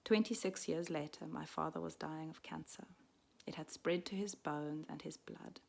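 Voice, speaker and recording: A woman in her forties, raised in Switzerland, reading a personal story aloud in a soft, reflective way that often drops to a half-whisper. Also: deep voice, moderate pace, vocal fry. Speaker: midwife and storyteller.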